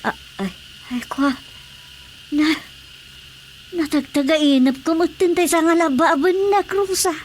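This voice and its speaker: A very high-pitched, excitable mother frets and exclaims her way through a radio comedy. She stammers, flustered, with clear noise behind her. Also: a little slow, long pauses. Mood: dazed. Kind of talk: radio comedy drama acting.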